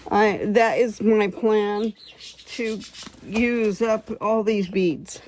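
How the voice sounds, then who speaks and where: Female craft vlogger, hoarse voice, slow casual chat while working, some background noise. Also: medium pitch, fairly even intonation, a little mellow. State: hoarse, losing her voice. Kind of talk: casual chatty bead craft tutorial.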